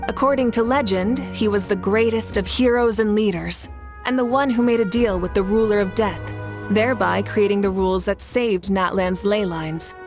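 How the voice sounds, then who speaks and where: An actress playing a calm, assured, kindly woman leader in an anime game, composed and reassuring. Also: mid-range voice, normal speed, over music, lightly acted. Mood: earnest.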